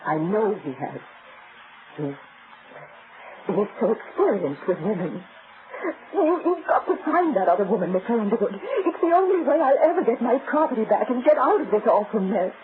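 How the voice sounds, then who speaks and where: A woman's voice: mid-range voice, lively intonation, moderate pace, lightly acted. Woman acting an anxious wife, muffled old radio drama. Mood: anxious, pleading. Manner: hesitant, plaintive, breaking off and repeating words. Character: anxious, pleading wronged wife, thirty-six. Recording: muffled, noisy vintage radio recording.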